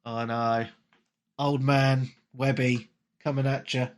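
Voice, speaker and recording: A man's voice: mid-range voice, flat delivery, slow pace. A man in his late thirties hosting a gaming podcast, stuffed up with a cold and talking chattily with plenty of ums. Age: 30s. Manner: chatty, self-mocking, frequent ums. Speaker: podcast host. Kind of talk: casual gaming podcast chat.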